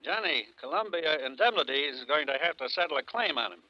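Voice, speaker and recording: An actor playing a worried, terse insurance manager in a 1950s American radio drama. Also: male voice, a little high, animated, brisk, no echo. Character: worried male insurance bureau manager on a call. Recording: dull, dry vintage radio recording.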